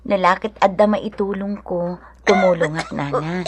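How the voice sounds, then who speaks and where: A woman's voice: on the low side, normal speed, close to an everyday voice. A woman playing a kind, generous village wife with an Ilocano accent in a radio drama, speaking with earnest care. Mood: caring, urging. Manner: earnest, caring. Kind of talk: Filipino radio drama acting. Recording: radio drama with music underneath.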